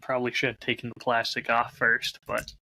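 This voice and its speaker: A man's voice: medium pitch, completely flat delivery, brisk, gravelly, bright, forceful tone. A man talking casually as a host, showing off a bottle while recording on a close lav mic. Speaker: podcast host and whiskey audio drama creator.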